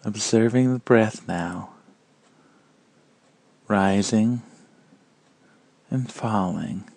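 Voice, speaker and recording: A man guiding a meditation in a calm, measured and gentle manner, leaving long pauses between phrases. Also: lowish voice, vocal fry, no room sound. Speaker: meditation guide. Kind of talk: guided meditation narration.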